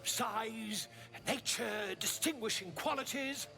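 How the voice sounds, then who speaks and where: An actor playing a flamboyant, curious bard in a video game, speaking in a theatrical, verbose and pompous way. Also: male voice, high voice, slow pace, vocal fry, pushed and bright, soft background music, heavily acted. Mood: effusive, curious. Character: flamboyant, curious male bard and self-styled scholar. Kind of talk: video game character acting.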